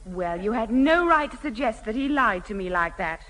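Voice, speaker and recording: A woman's voice: on the high side, lively intonation, moderate pace, a bit bright. A woman playing a haughty, sarcastic teenage older sister in a radio sitcom. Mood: huffy. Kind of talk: 1970 British radio sitcom acting. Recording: noisy, reverberant old radio recording.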